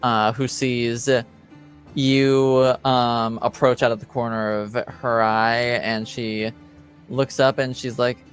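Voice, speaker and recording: A man working as game master, improvising scene descriptions in a halting, hedging way. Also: medium pitch, little pitch movement, faint music behind. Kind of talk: improvised tabletop game narration.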